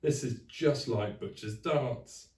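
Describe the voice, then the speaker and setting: A man voicing a wild, villainous butcher who shouts in a storybook manner. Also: mid-range voice, takes it slowly, far from the mic, close to an everyday voice. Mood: wild gloating. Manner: shouting, gloating. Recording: echoey room, away from mic.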